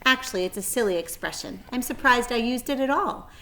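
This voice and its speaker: A clever lady spider from a storybook, played by a woman reader, thin and pleasant in voice, raised in pitch. She speaks in a genteel, articulate way, kindly yet matter-of-fact. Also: brisk, sharp tone, slight background noise. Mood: friendly.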